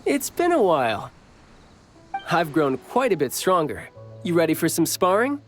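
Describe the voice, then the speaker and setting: A man's voice: fairly high pitch, sing-song, unhurried, faint music behind. A man voicing a cocky, friendly young warrior in a video game, with a teasing, confident manner. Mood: fond, eager. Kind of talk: video game character voice lines.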